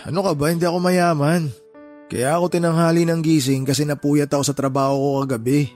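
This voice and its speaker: A man's voice: fairly high pitch, fairly even intonation, fast, a little mellow, faint music behind, close to an everyday voice. A man acting an exasperated, defensive younger brother. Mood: groggy, sheepish. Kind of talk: radio drama acting.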